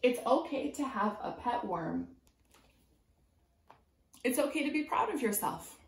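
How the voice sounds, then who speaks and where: A woman in her twenties reads a picture book aloud as a preschool teacher, pausing to put simple, encouraging questions to young children. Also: fairly high pitch, big pitch swings, slight background noise. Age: 20s. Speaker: preschool teacher reading a storybook. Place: small room at home, indoors. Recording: roomy indoor echo.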